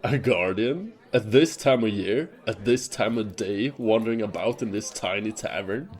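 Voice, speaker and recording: An actor playing a skeptical, level-headed sea hunter with a wry, questioning manner in a fantasy audio drama. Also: male voice, on the low side, moderate pace, darkish tone, natural voice. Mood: incredulous.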